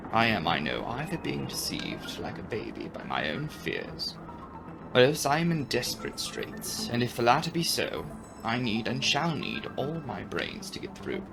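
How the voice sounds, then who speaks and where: A man giving a dramatic audiobook reading of a classic novel, with expressive, deliberate, theatrical phrasing. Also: medium pitch, gravelly, over music, low-quality recording. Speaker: audiobook narrator and channel host.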